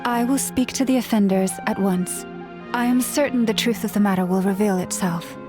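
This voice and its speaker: A woman's voice: medium pitch, normal speed, music in the background, natural voice. A woman playing a serene, solemn young moon priestess in a game cutscene, formal and composed. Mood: grave. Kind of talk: video game cutscene acting.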